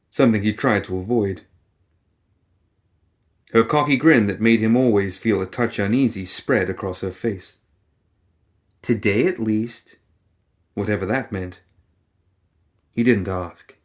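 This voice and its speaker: Man narrating a dramatic story. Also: lowish voice. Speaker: audiobook narrator.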